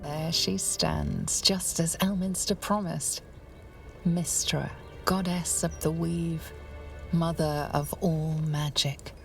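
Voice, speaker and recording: A woman playing an awed adventurer in a video game, hushed, dramatic and deliberate, recorded a little distantly. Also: sits low, fairly even intonation, creaky, faint music behind, exaggerated voice.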